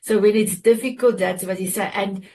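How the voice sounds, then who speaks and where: Earnest Afrikaans-accented woman, halting. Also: mid-range voice, warm tone, slight background noise. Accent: South African. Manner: earnest, halting, with fillers and restarts. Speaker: show host. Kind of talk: casual heartfelt sharing on a video call.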